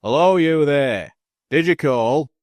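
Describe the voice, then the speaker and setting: Man doing a stubborn rustic servant, deep and dry, storybook reading. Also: animated, normal speed, dry recording, close to an everyday voice. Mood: wary. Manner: rustic dialect, low firm tones. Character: wary, stubborn country manservant.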